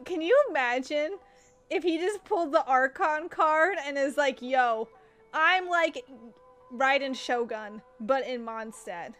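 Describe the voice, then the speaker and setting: A woman's voice: sits high, slow pace, fairly bright tone, soft background music. A woman streaming a game, reacting casually in a giggly, exclamatory way. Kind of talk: casual live gameplay commentary.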